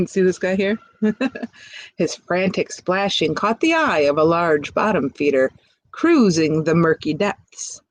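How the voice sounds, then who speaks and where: A woman reading a picture book aloud expressively, pausing to chat to young listeners with questions and asides. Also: lowish voice, lively intonation, no room sound. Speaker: storybook reader.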